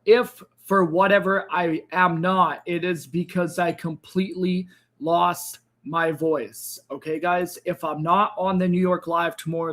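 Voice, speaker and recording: A man hosting a crypto trading livestream, speaking casually to viewers, hoarse and tired as his voice gives out. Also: a bit bright. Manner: tired, low-energy sign-off with frequent fillers.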